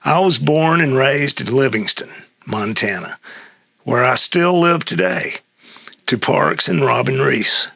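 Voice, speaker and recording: An older man reading a humorous memoir essay aloud in a halting way, with a gravelly, husky voice. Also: medium pitch, sharp tone, dry recording. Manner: halting read-aloud with stumbles and chuckles. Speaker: reader of an essay excerpt.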